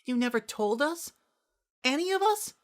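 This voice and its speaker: A performer voicing a shy, gentle young girl with a soft, wavering voice, timid and pleading. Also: high voice, sing-song, moderate pace, pitched above the performer's own voice. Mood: hurt, worried.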